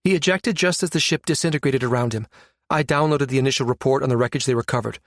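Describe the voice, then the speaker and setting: Male narrator as earnest analyst, protective, eager with facts, lifted pitch, science fiction audiobook. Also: fast talker, no echo, studio quality, natural voice.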